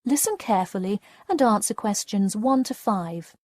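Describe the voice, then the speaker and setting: A woman narrating scripted listening test instructions in a formal, measured announcer's manner. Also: medium pitch, expressive, a little mellow, dry recording.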